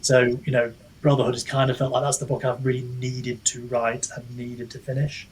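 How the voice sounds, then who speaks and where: A man's voice: mid-range voice, little pitch movement, moderate pace, husky, low-quality recording. A man chatting reflectively as an author being interviewed, hesitant and self-deprecating, often wandering mid-thought. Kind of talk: casual reflective podcast chat.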